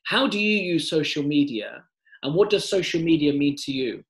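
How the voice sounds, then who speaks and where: A man's voice: fairly high pitch, sing-song, moderate pace, gravelly. A man interviewing a singer, asking long rambling questions padded with filler phrases. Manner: rambling questions, frequent et cetera.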